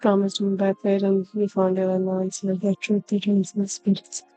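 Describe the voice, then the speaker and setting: A woman's voice: mid-range voice, completely flat delivery, moderate pace, dark tone, rough recording. A woman streamer reacting casually to a video game in brief murmured remarks. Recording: game music behind the voice. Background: video game audio and music.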